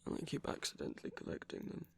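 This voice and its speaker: A man voicing a doting, teasing villain in an intimate ASMR romantic roleplay, speaking softly and coaxingly with a breathy voice. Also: fast talker, close to an everyday voice. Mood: teasing, tender. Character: doting, teasing male villain comforting a tired hero.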